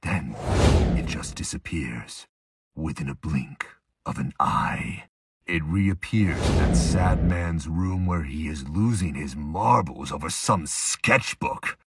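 A man with a husky voice narrating a dark audio drama slowly and ominously, with dramatic pauses. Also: a bass voice, lively intonation.

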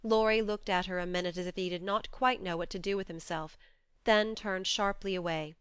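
Smooth, clear woman narrating an audiobook. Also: medium pitch, fairly even intonation, moderate pace, fairly bright tone, no echo. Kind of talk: expressive scripted storytelling.

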